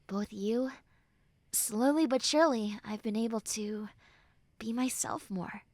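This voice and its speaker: High-voiced, slow-talking tender tsundere girl played by a woman. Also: no room sound. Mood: tender, comforting. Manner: earnest, hesitant, soft, often dropping to breathy near-whispers. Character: tsundere young woman, gruff friend turned tender and vulnerable comforter. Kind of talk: intimate roleplay audio acting.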